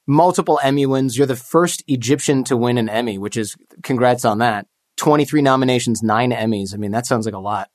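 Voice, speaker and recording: A man's voice: mid-range voice, fairly quick, hardly any pauses, darkish tone. Joking, irreverent male interview host. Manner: joking, irreverent, uses casual profanity. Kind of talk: casual conversational interview.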